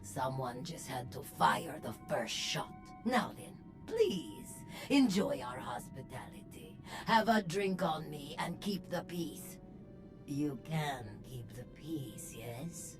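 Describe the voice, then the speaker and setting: An actress playing a bold, charismatic female gang leader in a video game, with a declamatory, deliberate, rallying delivery. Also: sits low, animated, over music, full character voice. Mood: cool, smooth. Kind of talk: theatrical video game speech.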